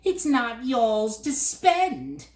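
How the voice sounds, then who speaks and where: A woman voicing a dirty, haughty male bird who snatches words, with a shrill, drawn-out, cackling voice. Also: huge pitch swings, roomy sound, exaggerated voice.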